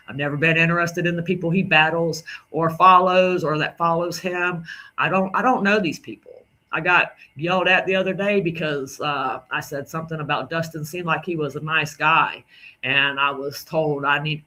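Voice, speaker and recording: A man's voice: on the high side, bright, forceful tone. A man from the Southern United States with a Southern drawl, rambling indignantly through casual commentary on clips and repeating himself. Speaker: commentary creator.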